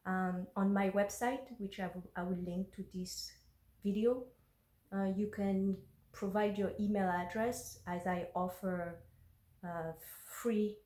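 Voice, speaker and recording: Slow, hesitant woman on a phone. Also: mid-range voice, mellow. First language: French. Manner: hesitant, with many ums and uhs. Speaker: intuitive energy worker. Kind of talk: personal vlog and reading her journal aloud. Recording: roomy phone recording.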